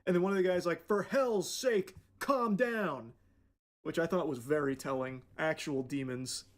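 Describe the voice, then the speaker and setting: A man's voice: on the high side, moderate pace. A man giving casual, opinionated commentary in a sarcastic, scornful way with some swearing.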